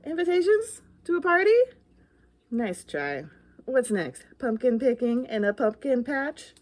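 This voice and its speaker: A woman acts out a little storybook ghost who bluffs about being brave but scares easily. The voice sits high and swings widely, with theatrical exclamations and anxious questions. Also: big pitch swings, unhurried. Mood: indignant, pleading. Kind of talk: children's picture book read-aloud.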